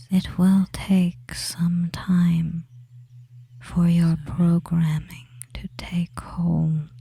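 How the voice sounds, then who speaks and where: A woman voicing a devious, dominating young male witch in a breathy, commanding whisper for a slow ASMR hypnosis roleplay. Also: low-pitched, exaggerated voice. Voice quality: breathy, hushed. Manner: slow, commanding, repetitive, with long pauses.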